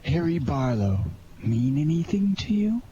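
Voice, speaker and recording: A man's voice: on the high side, very animated, unhurried, dark tone. A man playing a menacing, impatient gangster with slangy, taunting tough-guy talk in a 1940s-style radio crime drama. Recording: lo-fi muffled audio with faint noise.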